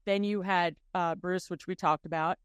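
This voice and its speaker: A woman's voice: fairly low pitch, fairly even intonation, moderate pace, clear, resonant tone, no echo, clean studio sound. Playful woman podcast co-host. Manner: playful, jokey banter. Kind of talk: casual chat.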